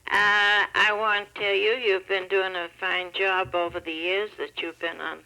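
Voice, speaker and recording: A woman's voice: medium pitch, level tone, a little slow, bright, forceful tone. A woman calling in to a radio talk show by telephone, chatting casually. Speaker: radio call-in listener. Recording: telephone call heard over radio.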